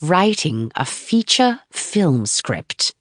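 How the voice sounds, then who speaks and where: Woman voicing slow, teasing Hollywood manager man. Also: low voice, big pitch swings, no echo, studio quality, full character voice. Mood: savouring suspense. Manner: drawn-out delivery with dramatic pauses. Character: male Hollywood manager, teasing, savouring big news.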